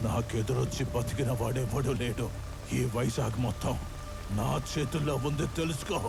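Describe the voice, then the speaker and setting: Casting: male actor for boastful, imperious film politician issuing threats, gravelly mid-pitched voice. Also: normal speed, over music, natural voice. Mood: angry boasting. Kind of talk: Telugu action film dialogue.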